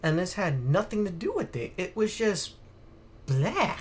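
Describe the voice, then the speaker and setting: A man's voice: sits high, a little slow, slightly rough. Blunt male podcast host, casual and profane. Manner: blunt, profane, animated when exasperated. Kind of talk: casual opinionated podcast chat.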